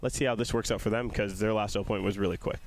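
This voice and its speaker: A man's voice: lowish voice, fairly even intonation, fast talker, slight background noise. A young adult man commentating on a live sports game in a casual, analytical style.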